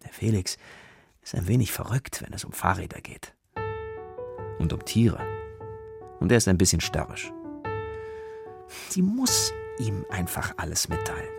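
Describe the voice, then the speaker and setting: A man's voice: low voice, very animated, unhurried, creaky, pauses often, exaggerated voice. A man narrating a children's radio play as its storyteller, with guitar music behind him. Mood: storytelling. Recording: loud guitar music under the voice. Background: plucked guitar music.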